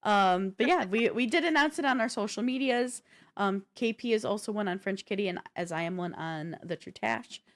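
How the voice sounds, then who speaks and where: A woman's voice: medium pitch, moderate pace. A woman co-hosting a streamed show about games, talking in a casual, chatty and informal way. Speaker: co-host and game streamer.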